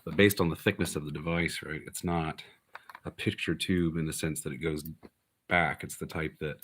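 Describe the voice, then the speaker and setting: A man with an extremely low-pitched voice presents a piece of hobby electronics in a casual, unscripted way. He explains technical details plainly and matter-of-factly, as in an informal demonstration. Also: fairly even intonation, moderate pace, fairly bright tone, slight background noise.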